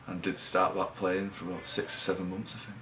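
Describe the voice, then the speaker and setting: Young rugby lad, deep monotone voice, mumbly answers, bit of hiss. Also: male voice. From England. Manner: low-key, halting, trails off. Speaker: rugby league player. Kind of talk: interview answers.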